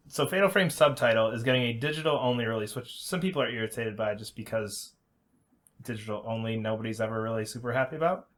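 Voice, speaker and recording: Male gaming podcast co-host, rapid conversational delivery, average pitch, drily skeptical. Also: fairly bright tone. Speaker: video game podcast co-host. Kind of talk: casual chat about gaming news.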